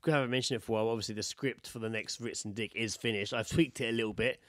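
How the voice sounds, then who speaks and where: A man's voice: medium pitch, fairly even intonation, rapid-fire, fairly bright tone, no echo. A British man hosting a podcast in casual, chatty, sweary banter. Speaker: podcast host.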